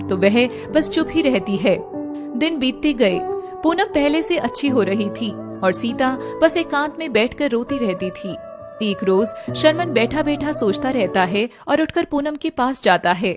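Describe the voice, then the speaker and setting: A woman reading a scripted, dramatic story as its narrator. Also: high voice. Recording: music playing behind the voice. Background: background music.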